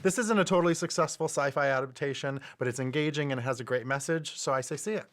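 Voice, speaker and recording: A man's voice: mid-range voice, quick pace. A man talking casually as a panelist in a film review discussion.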